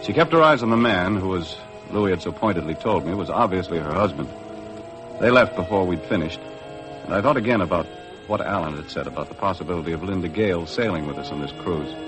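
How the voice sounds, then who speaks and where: A man's voice: low-pitched, expressive, quick pace, poor audio. An actor playing a wry, tough American boat skipper who narrates his own adventure in a 1950s radio drama. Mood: wry narrating. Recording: vintage radio recording with music.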